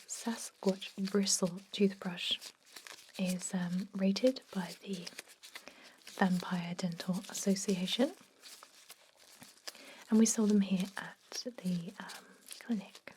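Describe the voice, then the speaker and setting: Woman playing a calm vampire dentist lady, breathy asmr whisper, mellow, bit of background hiss. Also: medium pitch, natural voice. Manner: slow, gentle, explanatory with pauses. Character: calm, attentive female vampire dentist at a clinic. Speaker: ASMR performer.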